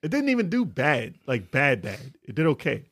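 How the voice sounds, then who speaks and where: A man's voice: mid-range voice, huge pitch swings, moderate pace, a bit bright, husky. A man co-hosting a casual video game podcast, talking emphatically and repeating his points. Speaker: podcast co-host.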